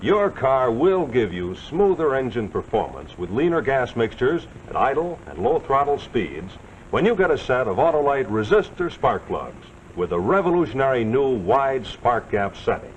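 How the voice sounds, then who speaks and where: Animated American male announcer, vintage broadcast. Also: mid-range voice, moderate pace. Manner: folksy, jovial, salesmanlike. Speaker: announcer and commercial pitchman. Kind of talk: folksy late-1940s television sponsor announcing. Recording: dull, noisy old 1940s soundtrack.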